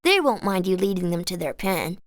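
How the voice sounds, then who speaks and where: A woman's voice: fairly low pitch, very animated, fairly quick. A woman voicing a friendly little boy goat herder in a video game, helpful and easygoing. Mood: friendly, reassuring. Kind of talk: scripted video game dialogue.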